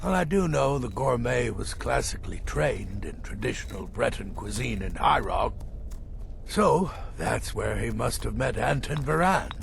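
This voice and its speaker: Creaky, crotchety old assassin. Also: male voice, mid-range voice, expressive, a little hiss or hum. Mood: sly, relishing. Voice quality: gravelly, creaky. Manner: theatrical, condescending, drawn-out delivery. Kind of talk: video game character acting.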